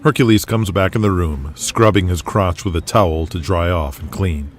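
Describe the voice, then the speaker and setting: A man with a low voice narrates an audio drama in a scripted, storytelling style. There is faint noise behind him. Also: normal speed.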